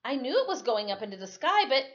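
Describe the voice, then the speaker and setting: A woman reading a story aloud in an expressive, dramatic storytelling way, like a teacher. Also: fairly high pitch, fast talker. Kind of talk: read-aloud storytelling with casual asides. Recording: dull, lo-fi sound.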